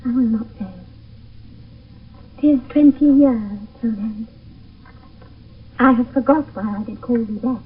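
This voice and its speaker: Breathy high-voiced lovestruck young British maiden. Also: female voice, unhurried, long pauses. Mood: tender reluctance. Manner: theatrical, fervent verse delivery. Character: Juliet, lovestruck young maiden, ardent and anxious. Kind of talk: 1970s classical radio drama verse acting. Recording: old lo-fi phone-like radio broadcast, distant.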